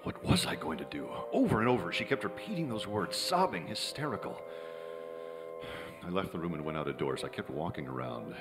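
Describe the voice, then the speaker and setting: A cynical Hollywood screenwriter in a noir radio play on stage. His delivery is sardonic and bitter, with lively swings of pitch. The hall rings around his words. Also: a little fast, over music. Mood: grim narration. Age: middle-aged. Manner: sardonic, hard-edged. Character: cynical, bitter kept younger man, screenwriter. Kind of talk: old-time radio drama acting, noir narration. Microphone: vintage-style stand microphone. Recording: hall reverb, live stage performance.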